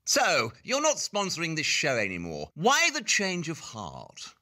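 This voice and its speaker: Man acting arrogant detective, bright forceful voice, very animated pitch, clipped formal delivery, audio play. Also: measured pace, full character voice. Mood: brisk, probing.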